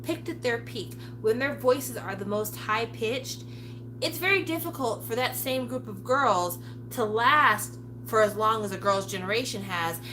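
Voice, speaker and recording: A woman's voice: on the high side, animated, measured pace, background noise. A woman in her forties chatting frankly to her viewers, casual and candid, now and then cursing. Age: 40s. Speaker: vlogger. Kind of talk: casual chat to camera.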